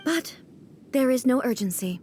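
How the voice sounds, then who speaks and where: Calm aloof game empress, kinda high voice, formal and measured, bit of noise behind. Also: female voice. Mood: thoughtful, composed. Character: calm, dignified immortal female ruler, aloof and quietly proud. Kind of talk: scripted video game dialogue.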